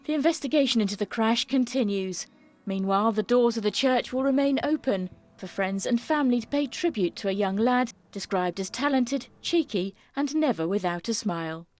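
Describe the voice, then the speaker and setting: A woman's voice: on the high side, lively intonation, moderate pace, a little mellow, faint music behind. A woman narrating a scripted television news report.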